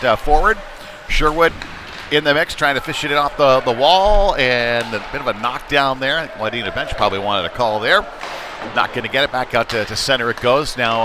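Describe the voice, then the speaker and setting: A man doing live play-by-play of a youth hockey game in a conversational, somewhat hesitant and unpolished way. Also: mid-range voice. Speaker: play-by-play sports commentator. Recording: noisy live rink ambience. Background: ice rink game noise.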